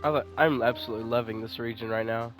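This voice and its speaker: A man's voice: medium pitch, steady tone, quick pace, music in the background. Casual male gamer thinking aloud. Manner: informal, thinking aloud, swears. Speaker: game streamer. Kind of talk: casual gameplay commentary.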